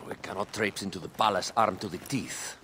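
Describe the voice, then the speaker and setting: A calm steady conspirator. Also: male voice, deep voice, fairly quick, a little hiss or hum.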